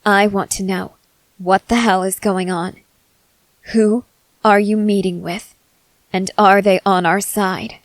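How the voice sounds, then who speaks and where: A woman playing a stern, suspicious young female soldier in a dramatic ASMR roleplay, speaking in terse, deliberate phrases with pauses. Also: mid-range voice, fairly even intonation, dry recording. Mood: accusing. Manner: slow, deliberate, terse, intense delivery with pauses.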